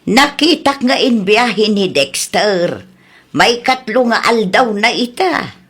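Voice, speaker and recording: A woman's voice: medium pitch, lively intonation, slow pace, fairly bright tone, breathy edge, full character voice. A woman with an Ilocano accent playing a principled, scolding wife who frets about fairness in a comedy radio drama. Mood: concerned.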